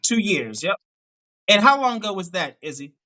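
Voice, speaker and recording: A man's voice: sits high, very animated, bright, forceful tone, rough recording. A man with a Pittsburgh accent hosting a live dating show, asking upbeat questions and often saying "okay, okay". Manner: upbeat, quick questions with frequent affirmations. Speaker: dating coach host.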